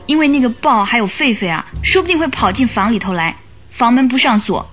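A woman's voice: a little high, lively intonation, brisk, fairly bright tone. An actress playing an anxious young woman who recounts events earnestly in a radio drama. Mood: uneasy, recounting. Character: anxious young woman client recounting her sister's death. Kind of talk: Mandarin radio drama acting. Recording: radio drama with music behind.

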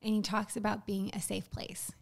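Encouraging young woman with vocal fry. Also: medium pitch, flat delivery, moderate pace. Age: 20s. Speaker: guest. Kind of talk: casual faith talk on a podcast.

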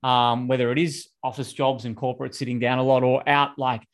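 A man's voice: medium pitch, level tone, fairly quick. Chatty male podcast host. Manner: chatty, rambling, uses filler "like". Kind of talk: casual interview chat. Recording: poor sound quality.

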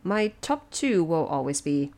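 A woman hosting production notes, explaining things in a measured, semi-scripted and lightly wry way. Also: low-pitched, sing-song, dark tone. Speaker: host of production notes for an audio drama.

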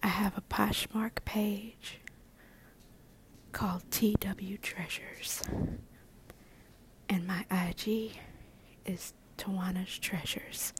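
A middle-aged woman hosting a podcast, talking in a soft, soothing ASMR whisper and repeating words as they fade away. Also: medium pitch, steady tone, slow, long pauses. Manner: soft unvoiced whisper throughout. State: nervous. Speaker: podcast host.

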